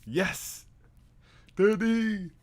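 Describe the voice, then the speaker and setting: A streamer, a man, cutting in with loud, excitable comments while watching a live stream. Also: high-pitched, very slow.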